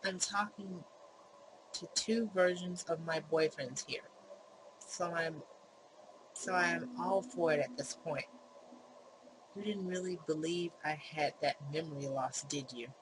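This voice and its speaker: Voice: medium pitch, steady tone, unhurried, pauses often, natural voice. A gamer voicing a suspicious young woman in a visual novel who asks pointed, testing questions. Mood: accusing. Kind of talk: visual novel character reading. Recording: clear background noise.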